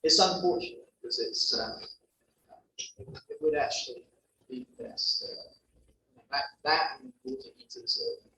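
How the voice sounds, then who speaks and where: A man's voice: fairly high pitch, huge pitch swings, slow pace, gravelly, long pauses. London-accented man presenting, stumbles and restarts; distant, roomy mic. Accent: London English. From London, England. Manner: hesitant, many restarts. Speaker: guest presenter. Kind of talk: answering audience questions at a meeting. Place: meeting room. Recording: echoey room, distant mic, faint hum.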